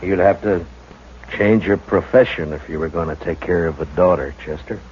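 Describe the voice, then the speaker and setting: A man playing a steady, stern frontier marshal who speaks calmly and with authority in a 1950s radio drama. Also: deep voice, natural voice. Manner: calm, measured, authoritative. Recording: dull old radio recording with hiss.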